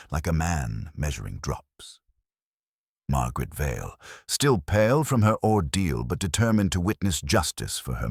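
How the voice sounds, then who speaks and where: A man's voice: a bass voice, breathy edge, dry recording, clean studio sound. A man reading a scripted mystery story with ornate, dramatic storytelling.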